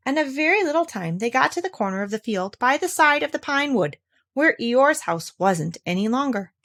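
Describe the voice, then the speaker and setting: A woman narrating a children's storybook in an expressive read-aloud style. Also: sits high, moderate pace. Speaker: storybook reader.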